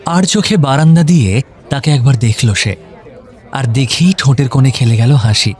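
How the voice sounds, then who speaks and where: A man's voice: mid-range voice, lively intonation, moderate pace, darkish tone, a faint crowd. A man narrating a scripted romantic audio story.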